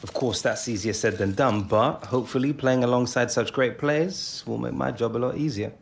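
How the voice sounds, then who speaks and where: A man playing a modest, determined eighteen-year-old footballer, speaking in measured phrases in a game cutscene. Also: medium pitch, creaky, a little hiss or hum, natural voice. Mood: earnest, composed. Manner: measured, modest interview answers. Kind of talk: video game cutscene acting. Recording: echoey room with faint music.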